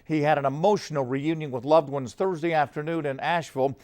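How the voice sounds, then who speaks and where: A man's voice: on the high side, steady tone, fast, a little mellow. A man anchoring a television newscast, reading scripted stories in a formal news delivery.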